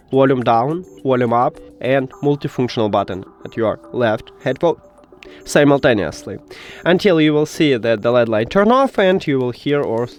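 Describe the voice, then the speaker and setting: A man who speaks English as a second language, hosting a step-by-step tech tutorial in a halting, instructional way. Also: mid-range voice. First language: not English.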